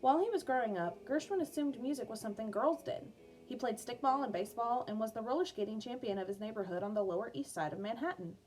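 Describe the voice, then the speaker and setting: A woman reading an educational biography aloud for children as a music teacher, in a clear, measured storytelling manner. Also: a little high, a little mellow. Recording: music playing behind the voice. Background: background music.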